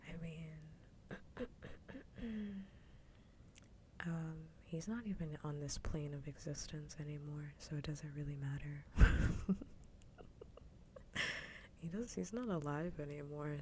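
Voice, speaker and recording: A woman livestream host chatting casually and ramblingly with viewers in a soft, breathy, half-whispered ASMR voice, close to the microphone. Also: low-pitched, moderate pace, hardly any pauses, a little hiss or hum. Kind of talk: soft-spoken ASMR chat stream.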